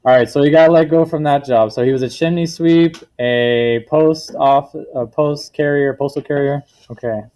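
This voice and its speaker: A man's voice: a little high, fairly even intonation, moderate pace, few pauses, a little mellow, smooth. Man reading a storybook aloud to children. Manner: explains words simply, checks understanding with questions. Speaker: teacher reading a picture book to children. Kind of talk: read-aloud storytelling with questions to a class.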